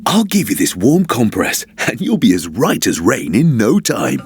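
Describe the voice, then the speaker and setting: A woman voicing a gentle, caring parent in a children's cartoon, soothing and expressive. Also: extremely low pitch, moderate pace. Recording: light music behind.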